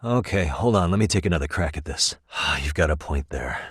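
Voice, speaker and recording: A man in his thirties voicing a calm, analytical private detective in a video game, speaking in a measured, conversational way. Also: very deep voice, breathy edge, no room sound, studio quality, exaggerated voice. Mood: pensive, focused. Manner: measured, methodical, reasoning aloud. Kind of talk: English video game voice acting, conversational dialogue.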